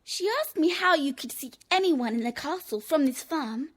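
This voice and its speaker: A woman's voice: sits high, animated, moderate pace. A woman playing a cheeky, stubborn young girl in a children's audio drama, teasing and smug with emphatic delivery. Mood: defiant, smug.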